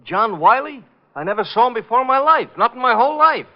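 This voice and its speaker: High-pitched, fussy Yiddish-inflected complainer. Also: male voice, lively intonation, quick pace. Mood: agitated, complaining. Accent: Yiddish-inflected English. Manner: agitated, plaintive, sing-song. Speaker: radio actor. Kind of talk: old-time radio comic acting. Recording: muffled vintage radio recording.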